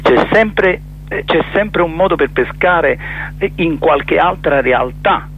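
A man giving a reflective radio interview as a theatre director, halting and emphatic, repeating words as he searches for terms. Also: fairly high pitch, animated, breathy edge, dull audio.

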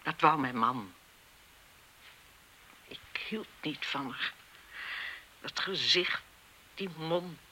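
An older, embittered schoolmistress in a radio play. Her voice is very bright and forceful, with animated swings of pitch. She lectures indignantly, like someone sure of her rules and weighed down by guilt. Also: extremely slow, long pauses, a little hiss or hum, full character voice. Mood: guilty, bitter. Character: embittered retired schoolmistress, stern, righteous, haunted by guilt. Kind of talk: Dutch radio play acting.

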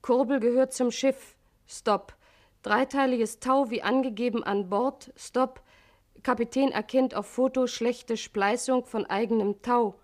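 An actress playing an efficient police secretary, clipped and matter-of-fact, in a 1950s German radio play. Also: female voice, a little high, fairly even intonation, measured pace, natural voice. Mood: businesslike. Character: efficient female police secretary reading out telegrams.

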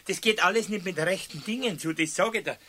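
Scharfe, aufgeregte bayerische Männerstimme. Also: male voice, high voice, quick pace, bright, forceful tone. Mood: irritated. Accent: Bavarian. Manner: blustery, colloquial. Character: excitable, superstitious, stubborn Bavarian husband, a mechanic. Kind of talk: 1970s radio play dialogue.

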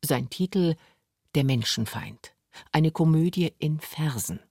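A man's voice: fairly high pitch, lively intonation, a little slow, creaky, a little mellow, dry recording, studio quality. A man narrating a scripted radio documentary. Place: dry studio.

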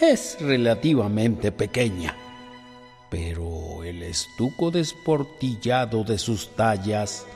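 A man giving measured literary narration for a radio drama. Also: medium pitch, darkish tone. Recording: long reverb.

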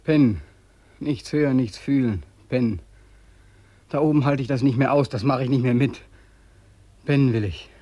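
An actor in a radio play as a weary, despairing twenty-five-year-old war veteran, pleading and bitter. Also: male voice, mid-range voice, normal speed, long pauses, warm tone, dry recording, close to an everyday voice. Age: young adult.

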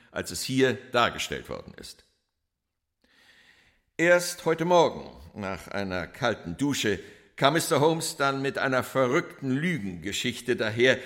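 An actor playing a cold, self-assured police inspector who testifies in formal, deliberate oratory in a radio crime drama. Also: male voice, mid-range voice, a bit bright.

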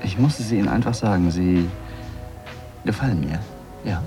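An actor playing a worldly, opinionated man in a radio play, speaking deliberately phrase by phrase. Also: sits low, over music. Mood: flirtatious. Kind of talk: 1980s German radio drama acting.

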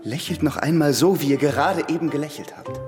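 Ein Schauspieler spielt im Hörspiel einen herrischen, erregbaren Malermeister der Renaissance, theatralisch und befehlend. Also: male voice, fairly high pitch, a little fast, long reverb tail, music in the background, natural voice. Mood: intent, demanding. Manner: commanding, theatrical. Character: excitable, imperious male Renaissance master painter. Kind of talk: radio drama dialogue.

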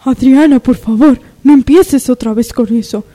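A woman acts a servant in a spoof radio soap. She is wary and plain-spoken, and her voice sits high. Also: moderate pace, mellow. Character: plain-spoken maid with an old secret debt. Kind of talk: parody radio soap opera acting.

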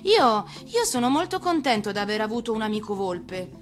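A small, wide-eyed boy prince from a storybook , acted by a woman. He is curious, sincere and a little hesitant, pitched high. Music sits behind the voice. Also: big pitch swings, normal speed. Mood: innocent, sincere.

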